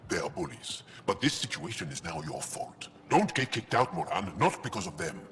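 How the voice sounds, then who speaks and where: Deep-voiced, solemn alien resistance officer. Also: male voice, sing-song, normal speed, fairly bright tone. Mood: firm, encouraging. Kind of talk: dramatic video game dialogue. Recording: faint background ambience.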